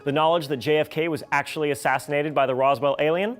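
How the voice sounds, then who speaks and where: A man's voice: fairly high pitch, steady tone, fast, soft background music. A man hosting a scripted science explainer in a wry, playful manner. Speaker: science show host.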